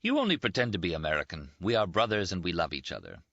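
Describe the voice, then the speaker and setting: A man voicing a brash, teasing young Italian army surgeon in an audiobook, quick and boastful. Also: sits low, wildly swooping pitch, fairly bright tone, dry recording, highs cut off, full character voice. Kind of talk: audiobook character voice.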